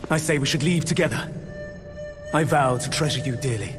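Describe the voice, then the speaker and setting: A man acts an arrogant, silver-tongued immortal schemer from a fantasy game. His speech is formal, grandiose and theatrical, at a middling pitch, with music clearly behind it. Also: a little fast, lightly acted. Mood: grave, persuasive. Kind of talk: dramatic fantasy game acting.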